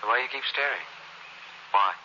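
An actor playing a cold, cynical killer in 1960s radio suspense style, terse and hard-boiled. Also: male voice, mid-range voice, normal speed, close to an everyday voice. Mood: wary, evasive. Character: cold, cynical male killer posing as an heir, confessing. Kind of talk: 1960s radio suspense acting, first-person narration. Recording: noisy, muffled old radio recording.

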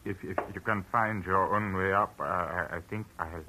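An actor playing a grieving, kindly older rural man who speaks haltingly, in 1940s radio drama style. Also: deep voice, fairly even intonation, sharp tone. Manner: halting, hesitant. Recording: noisy old radio recording.

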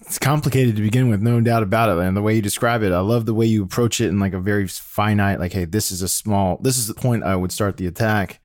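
Male interviewer, mid-pitched and rapid, informal enthusiastic host style, very dry recording. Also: a little mellow, dry recording. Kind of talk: casual interview chat.

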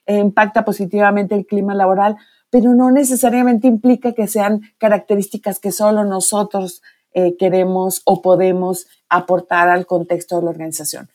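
A woman, an academic guest speaking in a measured, thoughtful way. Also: medium pitch, fairly even intonation, a little mellow. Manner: measured, thoughtful, frequent fillers. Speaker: professor, guest. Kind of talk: interview answer.

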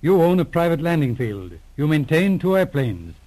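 An actor playing a businesslike police inspector in a 1940s radio drama, courteous, calm and matter-of-fact. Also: male voice, on the high side, moderate pace. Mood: formal, probing. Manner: matter-of-fact, clipped questioning.

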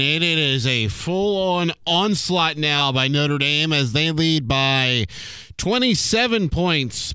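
A man's voice: medium pitch, a bit bright, dry recording. A man reading a sports scoreboard update on the radio in an announcer's delivery, stressing key phrases.

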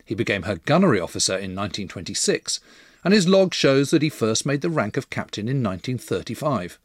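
A man's voice: medium pitch, very animated, brisk, slightly rough, dry recording, studio quality. A man narrating a scripted history documentary as its storyteller.

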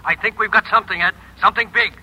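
Brisk excited male newsman acted by a man, quick, slightly high voice, vintage radio drama. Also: close to an everyday voice. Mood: urgent. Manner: clipped, urgent. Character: urgent male newspaper staffer, excited and brisk. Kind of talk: 1950s radio drama acting. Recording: dull, noisy vintage radio, telephone call.

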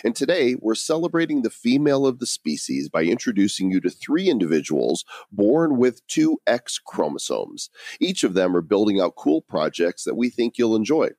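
A man's voice: on the low side, sing-song, moderate pace, darkish tone, no echo, clean studio sound. A man co-hosting a podcast, playful and teasing in his banter. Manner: playful, teasing, mock-grand announcer delivery. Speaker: podcast co-host.

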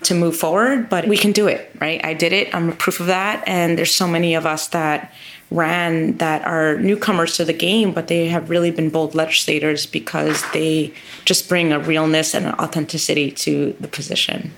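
Lady lawmaker in an interview, deep voice with a lot of fry, earnest and emphatic. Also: fairly even intonation, normal speed, a bit bright, slight background noise. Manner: earnest, emphatic, builds lists of repeated phrases. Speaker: state legislator as guest. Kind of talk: interview answers.

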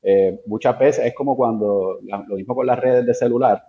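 A man's voice: medium pitch, moderate pace, warm tone, dull audio. A man with a Puerto Rican accent explaining technical things informally as an interview guest, hesitating and mixing in English tech terms. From Puerto Rico. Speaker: interview guest, engineer in networks and security.

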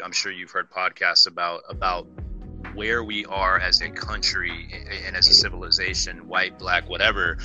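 A man in casual podcast chat, talking in an animated, rambling way and calling his friend "bro". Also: low-pitched. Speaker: podcast co-host.

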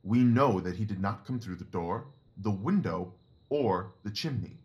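An actor playing a keen, self-assured Victorian detective in an audio drama, speaking in a precise, deliberate way. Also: male voice, on the low side, expressive, a little mellow, lo-fi sound. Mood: impatient, didactic.

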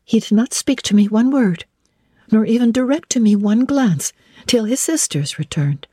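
A woman narrating a classic novel as a dramatic reading, with measured, deliberate and formal phrasing. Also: fairly high pitch, lively intonation, mellow, breathy edge, no echo, clean studio sound. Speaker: audiobook narrator.

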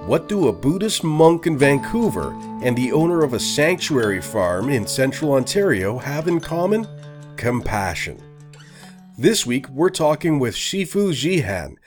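A man hosting a radio interview show, introducing the episode and then asking hesitant, apologetic questions full of fillers. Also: medium pitch. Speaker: podcast host.

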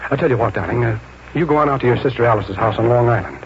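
An actor playing a stubborn, uneasy painter husband, distracted and hesitant, in a 1940s radio drama. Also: male voice, medium pitch, very fast, lightly acted. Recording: muffled, noisy 1940s radio recording.

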